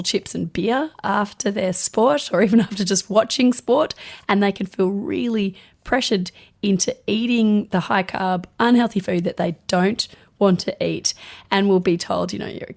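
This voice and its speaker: A woman doctor co-hosting a health podcast, hoarse from laryngitis, telling stories in a chatty, earnest way. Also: mid-range voice. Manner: chatty storytelling, gentle and earnest. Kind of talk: casual podcast chat.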